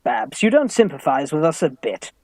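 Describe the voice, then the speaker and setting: Man acting an earnest, animated young suitor. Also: fairly high pitch, moderate pace, no room sound, studio quality. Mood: anxious. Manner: polite, earnest. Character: earnest, anxious, lovestruck young Victorian gentleman. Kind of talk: radio play farce acting.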